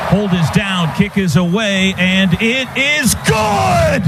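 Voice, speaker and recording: A man calling live football on radio as the play-by-play announcer, in clipped phrases that build to shouts on big plays, over loud stadium noise. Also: sits high, lively intonation, takes it slowly, loud music behind the voice, over a noisy crowd. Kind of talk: excited live football commentary. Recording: noisy stadium radio broadcast.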